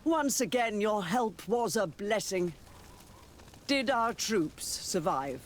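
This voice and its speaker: High-voiced, lilting courtly dignitary. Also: male voice, expressive, takes it slowly, a little hiss or hum. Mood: welcoming. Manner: formal, ornate courtesy. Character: courtly male dignitary, gracious and grateful ruler. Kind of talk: video game cutscene acting.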